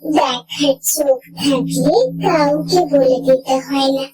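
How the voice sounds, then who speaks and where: A woman's voice: high voice, steady tone, takes it slowly, darkish tone. A woman playing a coy, familiar young married neighbour with an eerie wistfulness in a horror audio story, speaking in a coaxing way. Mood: coy, teasing.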